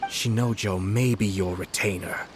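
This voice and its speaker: A man playing a calm, shrewd, courteous nobleman with measured, formal speech in a video game. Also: on the low side, slight background noise, natural voice. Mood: composed, stern. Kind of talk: video game character acting.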